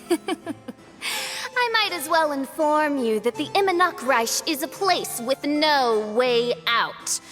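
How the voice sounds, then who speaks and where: A woman's voice: sits high, lively intonation, slow, fairly bright tone, soft background music, heavily acted. A woman playing a haughty young princess in a video game, speaking in a pompous, archaic and dramatic way. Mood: menacing, smug.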